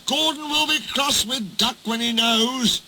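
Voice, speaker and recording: A man's voice: extremely high pitch, unhurried, background noise, voice pushed up. A man voicing a group of cheeky, rude goods trucks that sing and jeer. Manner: jeering, sing-song.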